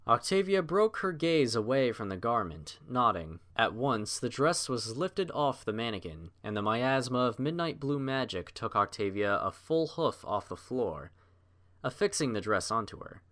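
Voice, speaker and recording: A man reading scripted fiction as a narrator, in a measured, literary storytelling manner. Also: medium pitch, sing-song, fairly bright tone.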